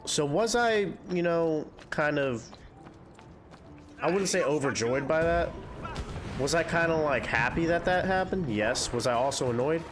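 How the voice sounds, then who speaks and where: A man's voice: on the high side, animated, unhurried, music in the background. A young man with a congested voice, chatting in a rambling, candid way while hosting a game playthrough. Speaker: gaming channel host. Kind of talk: casual chat during a game playthrough.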